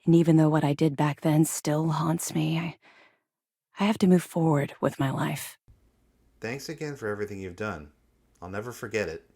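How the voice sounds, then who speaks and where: An actress playing a disciplined, guarded female officer in a space navy for a video game, with a crisp, formal briefing manner. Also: deep voice, normal speed, long pauses, dark tone, dry recording, clean studio sound. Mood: subdued, regretful. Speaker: video game character voice actor. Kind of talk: video game dialogue acting.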